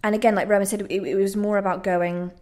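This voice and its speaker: A woman's voice: mid-range voice, flat delivery, fast, a little mellow. A woman speaking casually and reflectively as an interviewed playwright, with some hesitation. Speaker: playwright and trained actor interviewed.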